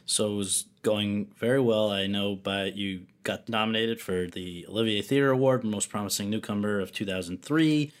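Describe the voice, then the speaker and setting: A man's voice: fairly low pitch, moderate pace, hardly any pauses, smooth. A man interviewing an actress, asking friendly, rambling questions. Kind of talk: conversational interview.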